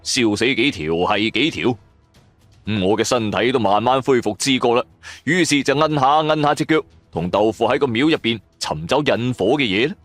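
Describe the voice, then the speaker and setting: A man tells a dramatic supernatural tale in colloquial Cantonese-accented speech. His pitch swings widely as he narrates, and soft music plays behind him. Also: a little fast, no room sound. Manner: animated, colloquial storytelling. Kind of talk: dramatic first-person supernatural story narration. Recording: music bed under the voice. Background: background music.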